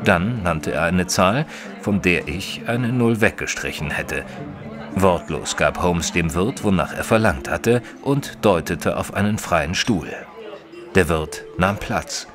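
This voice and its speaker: An actor playing a detective's companion, narrating an audio drama in a measured, literary, descriptive way. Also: male voice, low-pitched, expressive, music in the background. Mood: composed. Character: male companion of a detective, narrating in first person.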